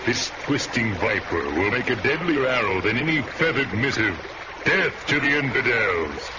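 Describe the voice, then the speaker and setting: An actor playing a menacing sorcerer warlord, declaiming grandly in villainous radio melodrama. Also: male voice, mid-range voice, big pitch swings, normal speed, natural voice. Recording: noisy, dull lo-fi radio recording.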